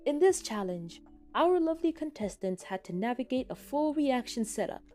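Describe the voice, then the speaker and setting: A woman's voice: sits high, sing-song, mellow, soft background music, pitched above the performer's own voice. A woman voicing a smug, theatrical young male announcer in an audio story, dragging out words for suspense. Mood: showy, teasing. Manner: drawn-out, suspense-building delivery. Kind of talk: fan fiction audio story.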